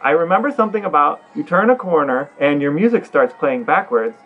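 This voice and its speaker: A man's voice: fairly high pitch, animated, fairly quick. An American man from Ohio chatting casually and asking questions as a podcast co-host. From Ohio, United States. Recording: dull lo-fi audio with music.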